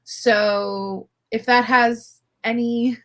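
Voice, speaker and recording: A woman in her twenties from Miami, a filmmaker guest telling her story in a relaxed, animated way, laughing easily. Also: a little high, very slow. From Miami, Florida. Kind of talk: casual interview chat.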